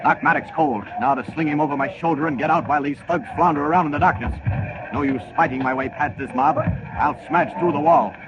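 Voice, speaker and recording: A man's voice: a little high, quick pace, lightly acted. A resolute superhero man acted by a man from a 1940s radio adventure serial. He thinks aloud in confident, clipped declarations. The old recording is dull and plainly noisy. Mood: bold, determined.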